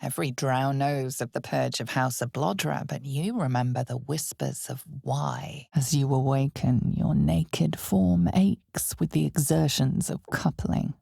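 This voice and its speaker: A woman performing a solemn, ominous narrator for a dark fantasy game, with a husky, gravelly voice and a measured, deliberate delivery. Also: fairly even intonation, no echo, studio quality, exaggerated voice. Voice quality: husky, breathy, gravelly low voice. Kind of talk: scripted dramatic fantasy game narration in second person.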